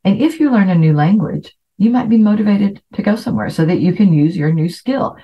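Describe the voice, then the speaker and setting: A woman's voice: medium pitch, expressive, fairly quick, dark tone. A middle-aged woman who grew up in Latin America, giving an informal, chatty self-help talk as a host. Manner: chatty, anecdotal. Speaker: podcast host. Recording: dull, lo-fi voice recording.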